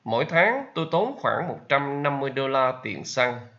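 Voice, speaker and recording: A man with a Vietnamese accent, a native Vietnamese speaker, teaching English vocabulary and repeating each example sentence slowly. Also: mid-range voice, sing-song, dull audio. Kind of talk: slow vocabulary lesson with repetition.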